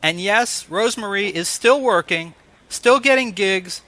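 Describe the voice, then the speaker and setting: Man, interview show host, high voice, bright tone, announcer copy and chat. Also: unhurried. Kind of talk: scripted introduction and ads, then interview chat.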